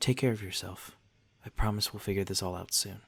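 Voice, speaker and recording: A man playing a courteous, protective vampire lord in a soft-spoken ASMR roleplay, with formal, genteel wording and a gentle, reassuring manner. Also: lowish voice, a little fast, vocal fry, a little hiss or hum. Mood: protective, tender. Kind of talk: ASMR roleplay, speaking softly to the listener.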